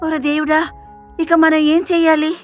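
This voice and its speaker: A woman voicing a harsh, scheming aunt in an animated story, with a scolding, bossy manner. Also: high-pitched, moderate pace, faint music behind, natural voice. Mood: worried. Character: harsh, scheming, bad-tempered aunt and wife.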